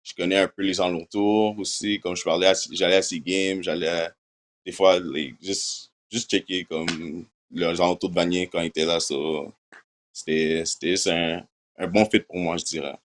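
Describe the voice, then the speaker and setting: Low-voiced young man, casual talk. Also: little pitch movement, normal speed. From Quebec, Canada. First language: English. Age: young adult. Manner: casual, mixes English words like "so" and "like" into his French. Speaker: university football player. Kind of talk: interview answers.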